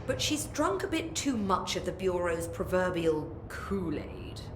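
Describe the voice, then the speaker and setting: A woman's voice: lowish voice, big pitch swings, unhurried. A woman voicing a haughty, brusque academic scientist in a video game, precise and dryly exasperated. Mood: dry, imperious. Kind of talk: video game dialogue acting. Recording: roomy echo with background noise.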